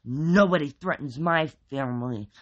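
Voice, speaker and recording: A man's voice: on the high side, sing-song, normal speed, sharp tone, heavily acted. A man voicing a dim, blustering tattooed tough guy with a forced tough-guy voice. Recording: dull lo-fi recording.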